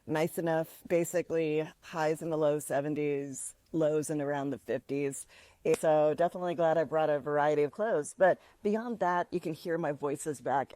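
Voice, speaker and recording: A woman's voice: sits low, darkish tone, dry recording. Chatty upbeat woman vlogging outdoors. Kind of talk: casual vlog talk.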